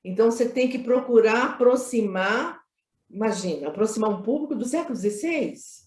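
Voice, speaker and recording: A woman's voice: a little high, lively intonation, a little fast, a little mellow. Echoey woman explaining emphatically. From Minas Gerais, Brazil. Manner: emphatic, repeats phrases, frequent tag questions. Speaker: film director being interviewed. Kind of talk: conversational interview, explaining creative choices. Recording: roomy, echoey sound.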